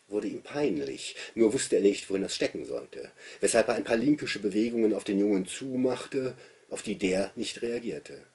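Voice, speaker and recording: A man in his fifties giving an expressive literary reading of a novel as its author. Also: lowish voice, vocal fry, husky. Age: 50s. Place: small book-lined study, indoors.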